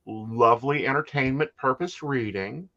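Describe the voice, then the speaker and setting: A woman's voice: extremely low pitch, moderate pace, a bit bright, highs cut off. A woman from the United States hosting a casual psychic talk show.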